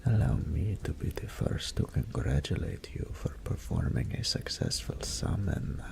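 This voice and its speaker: A male voice actor playing a seductive, smug male greater incubus in an audio roleplay drama, with breathy whispers and a slow, purring, condescending manner. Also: very deep voice, sing-song, noisy background, heavily acted. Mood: seductive, teasing.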